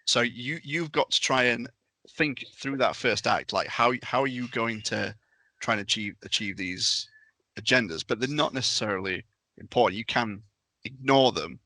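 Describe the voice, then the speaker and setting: A man's voice: medium pitch, moderate pace, pushed and bright, no echo. A man in a casual podcast discussion, explaining things informally with examples. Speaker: podcast panellist explaining a tabletop game.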